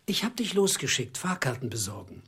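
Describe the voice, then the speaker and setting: Bitter middle-aged clerk, husky voice, mid pitch, deliberate, sarcastic, radio drama. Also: male voice, very animated, fairly bright tone, exaggerated voice. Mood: bitter accusation. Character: bitter, calculating small clerk confronting his treacherous wife.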